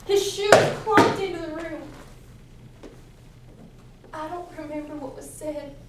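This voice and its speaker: Guilt-ridden runaway girl, fourteen played by a woman: high, intense, theatrical stage monologue in a very echoey room. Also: slow. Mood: frantic, then somber, haunted. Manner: intense, theatrical first-person storytelling. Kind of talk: dramatic interpretation monologue. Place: indoor competition room, distant camera. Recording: echoey room from far off, noisy.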